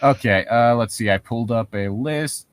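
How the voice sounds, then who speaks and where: A man's voice: medium pitch, normal speed, no room sound. A man chatting casually as a podcast co-host, rambling and swearing freely as he riffs on horror stories. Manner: rambling, profane. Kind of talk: casual chat, riffing commentary on horror stories.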